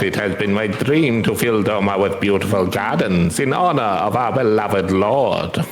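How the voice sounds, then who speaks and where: A man's voice: on the low side, a bit bright. A man voicing an earnest, grieving gardener in a game read-aloud, solemn, formal and reverent. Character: earnest, grieving Doman gardener, devoted to his lord. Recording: roomy echo, music behind.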